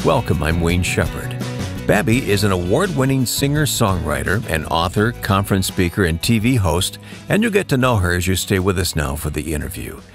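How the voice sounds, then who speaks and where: Low gravelly-voiced male radio host. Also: big pitch swings, moderate pace, over music. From Michigan. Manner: friendly, laughs often, affirming interjections. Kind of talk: interview and program narration. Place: recorded separately from guest over online call.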